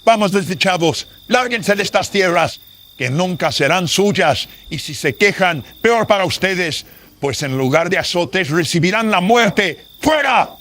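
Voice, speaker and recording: A man's voice: high voice, animated, unhurried, pushed and bright, pitched above the performer's own voice, full character voice. A man playing a corrupt, greedy colonial notary who gives scornful, imperious orders in a melodramatic horror radio drama. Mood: threatening.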